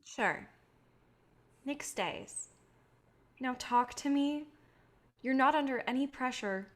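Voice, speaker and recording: Female, high voice. An actress playing an idealistic, protective filmmaker burdened by guilt; earnest, pleading stage drama. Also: takes it slowly, fairly bright tone. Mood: gently coaxing. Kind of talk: staged reading of a drama play.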